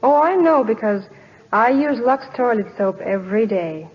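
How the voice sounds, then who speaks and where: A young woman chats as a guest in a scripted old radio promotion. Her voice is fairly high. The recording is dull and muffled. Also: lively intonation, normal speed. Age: 20s. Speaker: actress guest endorsing soap.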